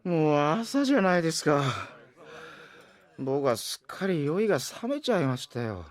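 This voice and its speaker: High-voiced, polite young grumbler. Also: male voice, very animated, moderate pace. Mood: sobered, doubtful. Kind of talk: Japanese audio drama acting.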